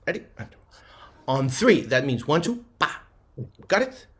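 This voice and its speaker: A man's voice: fairly high pitch, big pitch swings, unhurried. A man with a Lithuanian accent leading a live event in a playful, theatrical way, sometimes dropping to a whisper. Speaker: performer closing a segment. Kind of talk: playful live stage performance. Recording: guitar music behind the voice.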